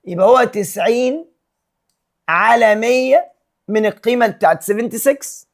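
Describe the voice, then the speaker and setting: A man with an Egyptian Arabic accent teaches physics, reading questions out slowly and solving them step by step. His voice sits high for a man, and he switches between Arabic and English terms as he works. Now and then he clears his throat. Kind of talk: solving exam problems step by step.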